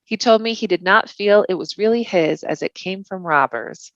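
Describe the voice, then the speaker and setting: A woman voicing a gentle, wry male hobbit traveler with a mild manner, in a storybook. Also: medium pitch, moderate pace, no echo, dull audio, close to an everyday voice. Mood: wry, frank.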